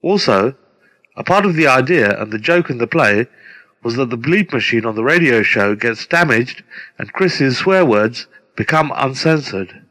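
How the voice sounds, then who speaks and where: A middle-aged man reading a scripted introduction as a presenter, deliberate and formal. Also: medium pitch, animated, bright, forceful tone. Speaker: presenter introducing his own radio play. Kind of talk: scripted narration. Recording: long hall-like reverb.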